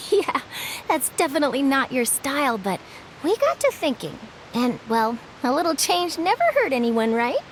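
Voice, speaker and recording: A woman's voice: high-pitched, very animated, normal speed, a little hiss or hum. A woman playing an eager, bubbly young newspaper reporter and photographer in an anime game. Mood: friendly, chatty. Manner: bubbly, exclamatory.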